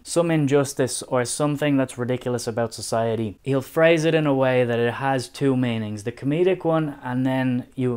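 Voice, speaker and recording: A young adult man with a Dublin accent, talking through a book review in a measured, thoughtful way. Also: mid-range voice, darkish tone, clean tone. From Ireland. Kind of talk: casual spoken review.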